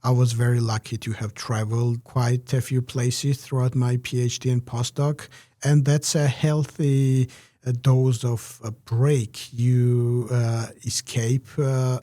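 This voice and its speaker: A man giving measured, reflective interview answers, pausing often with "uh" between phrases. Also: mid-range voice, little pitch movement, warm tone, no echo, clean studio sound. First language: Georgian. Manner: measured, many "uh" pauses. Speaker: interview guest, researcher.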